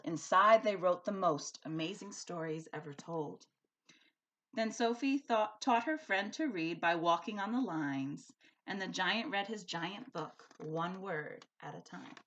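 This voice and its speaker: A woman's voice: on the low side, unhurried. A woman teacher speaking expressively and emphatically, as in a storybook read-aloud for a school lesson. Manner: animated, emphatic.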